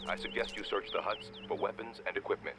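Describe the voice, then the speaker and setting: A man's voice: on the low side, quick pace, very noisy, close to an everyday voice. A man voicing a composed, urgent insider ally who gives matter-of-fact instructions in a video game. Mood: calm briefing. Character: male insider ally guiding a fugitive by radio, composed, urgent. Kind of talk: video game cutscene voice acting.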